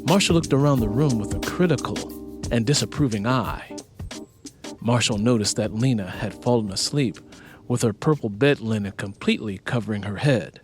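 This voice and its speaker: A man's voice: on the low side, moderate pace, soft background music. A man reading scripted audiobook fiction as its narrator.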